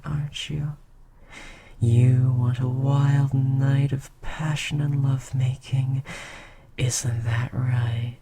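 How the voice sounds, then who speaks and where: A woman voicing an ancient queen succubus, soft and tender, often slipping into a breathy whisper in an ASMR roleplay. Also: very deep voice, slight background noise, exaggerated voice. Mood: teasing.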